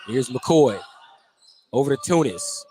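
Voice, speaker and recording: An American man doing live play-by-play basketball radio commentary, animated on big plays and conversational in between. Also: medium pitch, slow. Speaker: play-by-play sports announcer. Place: indoor basketball gym during a game. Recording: clearly noisy gym background.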